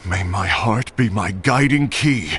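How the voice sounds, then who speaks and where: An actor playing a brooding, defiant warrior in a video game, solemn and intense. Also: male voice, fairly low pitch, lively intonation, a little slow, fairly bright tone, slight background noise. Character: brooding, defiant male warrior, grimly determined.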